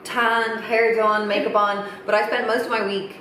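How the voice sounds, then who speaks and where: A woman's voice: medium pitch, little pitch movement, normal speed, fairly bright tone, distant mic, a little hiss or hum. A woman in her thirties co-hosting a podcast, chatting frankly in a rambling way with lots of fillers. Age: 30s. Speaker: podcast co-host. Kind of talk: casual chat. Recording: very echoey room.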